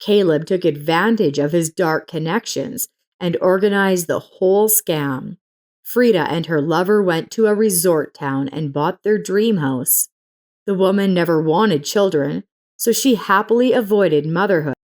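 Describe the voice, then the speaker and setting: A woman with a slightly low voice narrates a scripted story. She reads as a storyteller, in full prepared sentences. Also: unhurried, a little mellow.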